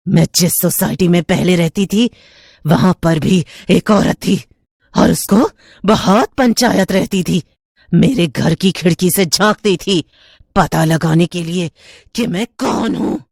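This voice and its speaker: A woman's voice: fairly low pitch, gravelly, a little mellow, no room sound, clean studio sound, heavily acted. Woman voicing a menacing, taunting witch neighbour. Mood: mocking menace. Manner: taunting, slow and deliberate threats. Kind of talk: Hindi horror cartoon storytelling.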